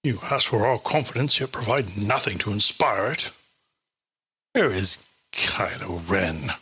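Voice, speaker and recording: An actor playing a scornful, demanding alien warlord with a harsh, rough voice in an audio drama. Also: male voice, on the low side, expressive, measured pace, bright, forceful tone, heavily acted.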